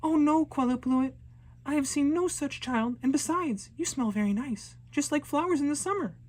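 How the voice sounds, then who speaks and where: A man voicing a bold, boastful young girl in a high, eager voice. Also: normal speed. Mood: sweet-talking. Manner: high, loud and excited, cheeky.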